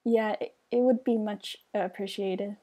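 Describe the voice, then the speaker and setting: A woman's voice: mid-range voice, steady tone, a little slow, mellow, natural voice. A woman playing a shy, nervous young fire mage in a game roleplay, hesitant, stammering and polite. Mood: grateful, nervous. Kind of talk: amateur roleplay acting in a game video.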